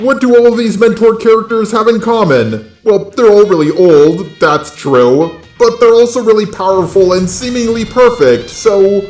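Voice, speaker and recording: Sardonic man narrating, higher-pitched, roomy sound. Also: animated, moderate pace, few pauses, a little mellow, soft background music. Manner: sardonic, casually crude. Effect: robot voice effect. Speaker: video essay narrator. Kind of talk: scripted opinionated commentary on fiction.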